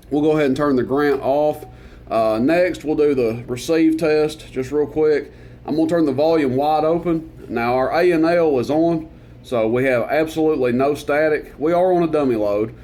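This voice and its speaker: A man with a loud voice, casually demonstrating a CB radio at his workbench, talking in a relaxed, rambling way with lots of fillers. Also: a little high, a little hiss or hum. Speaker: CB radio reviewer.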